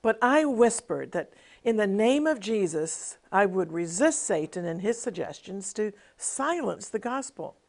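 A woman's voice: mid-range voice, gravelly, a little mellow. A middle-aged woman television minister giving a devotional teaching with a personal testimony, in an earnest, emphatic preaching cadence.

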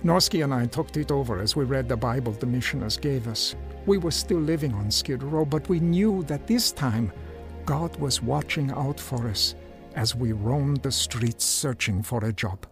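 A man's voice: mid-range voice, normal speed, lightly acted. An actor playing a humble, sincere man who has given up drinking, telling his story in a radio drama with some hesitance. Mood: reflective. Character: earnest, humble reformed drinker recalling his life. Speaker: narrator and lead character. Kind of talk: radio drama acting with first-person narration. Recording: music behind the voice. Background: music.

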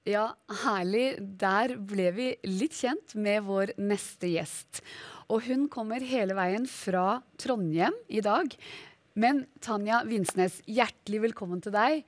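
A woman's voice: mid-range voice, slow pace. A woman hosting a talk show, welcoming her next guest. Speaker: host.

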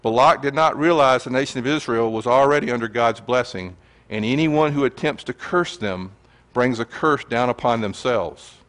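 A man's voice: mid-range voice, normal speed, a bit bright. A man gives an earnest sermon-style teaching and reads scripture aloud to a congregation. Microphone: handheld or stand mic.

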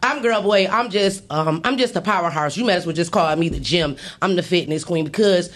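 A woman's voice: on the low side, brisk. A woman hosting a podcast in casual group chat, boastful and joking. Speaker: podcast host.

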